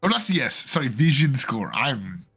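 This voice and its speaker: A man casting a live esports match, joking around and getting excited about the plays. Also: mid-range voice, moderate pace, slightly rough, faint music behind. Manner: joking, excitable, laughs often. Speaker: esports caster. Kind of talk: live game commentary.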